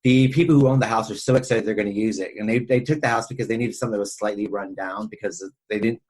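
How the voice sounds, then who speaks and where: A man with a husky voice talking casually and ramblingly as a podcast host discussing film. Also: lowish voice, steady tone, quick pace, darkish tone.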